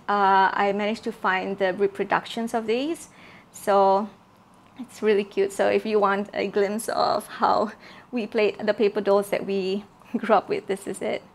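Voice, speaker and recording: A woman from Malaysia showing her handmade journal kits in a casual, soft-spoken way, with many fillers and repeated words. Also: medium pitch, flat delivery, a little slow. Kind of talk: casual show-and-tell craft video.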